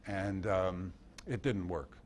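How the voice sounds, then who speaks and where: A man giving an informal talk and answering questions in a halting, reflective storytelling manner. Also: low-pitched, slight background noise. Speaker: guest speaker.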